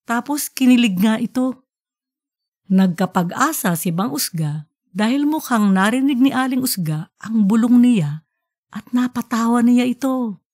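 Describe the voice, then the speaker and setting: A woman's voice: fairly high pitch, expressive, a little slow, a little mellow. A woman narrating scripted fiction as the storyteller of an audiobook podcast.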